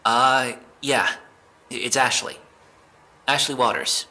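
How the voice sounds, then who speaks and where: A man playing an evasive, jittery young man being questioned by police, halting and defensive, in a naturalistic audio drama. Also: medium pitch, sharp tone, heavily acted. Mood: nervous explaining.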